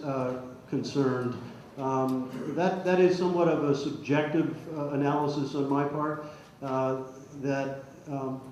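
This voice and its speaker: A man speaking as a panelist at a public forum, answering off the cuff in a halting, hesitant way and often repeating words. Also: medium pitch, a little mellow. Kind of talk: answering audience questions off the cuff. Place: public meeting hall. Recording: muffled, very echoey hall, faint noise.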